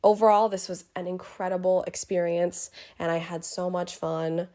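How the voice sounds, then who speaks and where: A woman's voice: on the low side, fairly even intonation, moderate pace, warm tone. A young woman talking informally and casually as a musical collaborator on a project.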